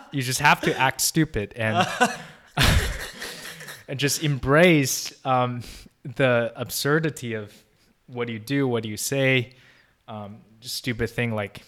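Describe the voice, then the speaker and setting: A man's voice: medium pitch, slow, long pauses, a bit bright. A young man whose first language is Chinese, talking casually as an interview guest, thoughtful and full of restarts. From China. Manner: thoughtful, many restarts and fillers. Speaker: podcast guest.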